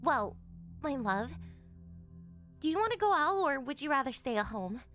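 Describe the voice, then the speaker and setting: A woman's voice: sits high, sing-song, normal speed, a bit bright, soft background music. A woman voicing a shy, flustered tsundere young girlfriend in an anime-style ASMR roleplay, defensive and stammering, with a whiny edge. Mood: shy affectionate.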